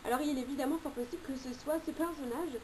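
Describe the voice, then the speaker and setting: A woman's voice: high voice, quick pace, dark tone, a little hiss or hum. A woman giving an informal spoken commentary on tarot cards, chatty, with frequent hesitations and self-corrections.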